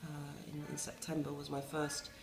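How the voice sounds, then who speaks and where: A middle-aged English woman, a resident playwright, talking reflectively in a wry, self-mocking way with many pauses and restarts. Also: deep voice, completely flat delivery, gravelly, echoey room, crowd noise. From England. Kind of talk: reflective interview talk.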